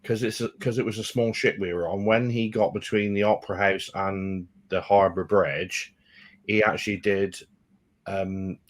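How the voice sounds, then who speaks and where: A man's voice: fairly low pitch, steady tone, moderate pace. A British man, a cruise vlogger guest, telling a story with dry, teasing humor. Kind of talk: casual live-stream chat.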